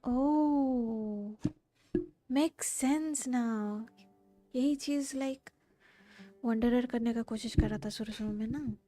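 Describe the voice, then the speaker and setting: A woman from India with an Indian accent streams a game casually. Her voice is high and mellow, musing along between Hindi and English, with faint music behind her. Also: fast, long pauses. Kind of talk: casual live reaction commentary.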